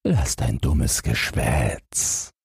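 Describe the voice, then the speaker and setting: A gruff fairy-tale carter, as a male reader puts him on, low and husky. He is brusque, curious and takes charge. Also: very animated, very slow, a little mellow, dry recording, clean studio sound, exaggerated voice.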